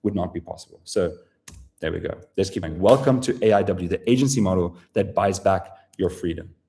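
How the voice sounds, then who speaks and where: A South African man hosts a live sales webinar, talking in a low, dark, mellow voice. His delivery is casual and salesy, full of swearing, fillers and restarts as he pushes his offer to viewers. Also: brisk. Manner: casual, profane, filler-heavy sales talk. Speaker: webinar presenter.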